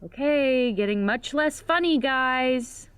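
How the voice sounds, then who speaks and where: A woman's voice: high-pitched, slow pace, fairly bright tone, slight background noise. An actress playing an anxious, sarcastic young homebody woman in a horror comedy audio drama. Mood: annoyed, uneasy. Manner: jittery, sarcastic.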